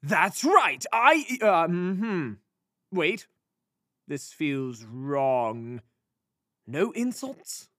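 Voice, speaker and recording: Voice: mid-range voice, very animated, extremely slow, pauses often, pushed and bright, no room sound, clean studio sound, exaggerated voice. A pompous, theatrical fantasy sorcerer. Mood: indignant. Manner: pompous, theatrical, haughty with flustered hesitations. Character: pompous, cocky, theatrical magical henchman sorcerer serving an empress. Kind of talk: fantasy audio drama acting.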